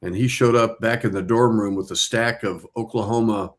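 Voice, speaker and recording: Lower-voiced man telling a casual anecdote. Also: fairly even intonation, moderate pace. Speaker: film club member. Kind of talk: casual anecdote in group chat.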